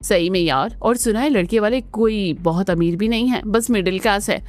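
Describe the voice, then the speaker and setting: A woman's voice: mid-range voice, normal speed, close to an everyday voice. A woman voicing a spiteful, snobbish young older sister in a Hindi cartoon, with an exaggerated, sneering manner. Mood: scornful. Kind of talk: Hindi family cartoon voice acting.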